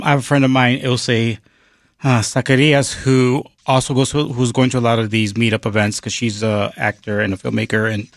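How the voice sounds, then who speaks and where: A man who works as a film programmer, talking as a guest in a relaxed, halting way with filler words. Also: medium pitch, dry recording. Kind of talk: guest interview.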